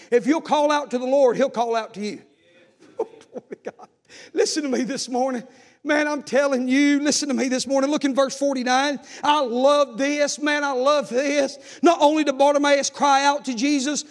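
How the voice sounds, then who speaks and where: A man preaching a fervent evangelical sermon, exhorting the congregation with repeated phrases and rhetorical questions. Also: very high-pitched.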